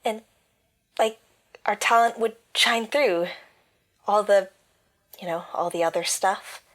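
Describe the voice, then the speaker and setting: A woman's voice: mid-range voice, slow, fairly bright tone. A woman playing an earnest, apologetic but plucky young girl in an audio drama. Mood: wistful.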